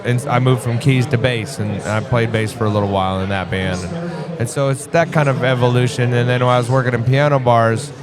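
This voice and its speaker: A man in his forties talks at an ordinary pitch for a man, easygoing and full of small restarts as he tells his story. He was born in Vietnam and raised in the US Midwest. A lively crowd carries on clearly behind him outdoors. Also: fairly quick. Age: 40s. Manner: relaxed, rambling, many restarts and fillers. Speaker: guest musician. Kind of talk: casual interview chat.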